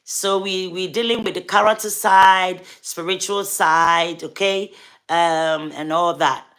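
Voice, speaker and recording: Bright, unhurried Ghanaian woman livestreaming. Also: fairly low pitch, fairly even intonation. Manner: chatty, greets viewers and urges them to subscribe. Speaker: livestream host and gospel artist. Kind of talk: casual livestream chat.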